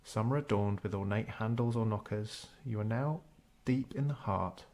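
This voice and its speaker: A man narrating a scripted guided meditation in a measured, unhurried storytelling way. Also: on the low side, darkish tone.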